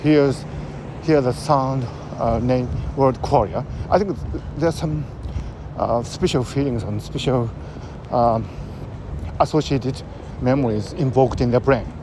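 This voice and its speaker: Japanese-accented man musing outdoors. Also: mid-range voice. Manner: halting, many uh fillers and pauses. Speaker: host. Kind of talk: casual spoken commentary. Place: outdoors in a city. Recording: outdoor street noise, traffic.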